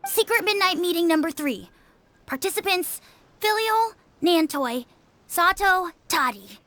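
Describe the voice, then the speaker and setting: Casting: tiny loyal tattletale child, piercingly high and bright, blunt, music underneath. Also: female voice, expressive, measured pace, exaggerated voice. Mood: accusing. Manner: blunt, accusing. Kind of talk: video game voice acting.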